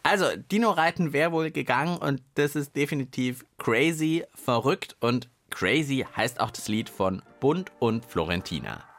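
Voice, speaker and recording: A man chats playfully as co-host of a children's science show. His delivery moves freely up and down in pitch, and the sound is close and dry, with very little room. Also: expressive, moderate pace, few pauses, fairly bright tone, dry recording, faint music behind. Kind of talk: casual, playful banter.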